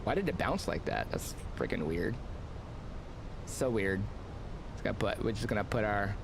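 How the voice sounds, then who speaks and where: Rambling streamer man with lively pitch. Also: normal speed, vocal fry, noisy background. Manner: rambling, filler-heavy, excitable. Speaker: flight sim streamer. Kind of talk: casual gameplay commentary.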